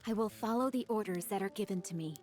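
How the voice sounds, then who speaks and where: A woman's voice: mid-range voice, fast talker, darkish tone, faint music behind, lightly acted. Woman acting a grandiose, solemn young warrior woman. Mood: resolute, devoted. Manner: solemn, dramatic, formal. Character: grandiose, loyal young woman warrior with dark-fantasy delusions. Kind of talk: English game dub voice acting.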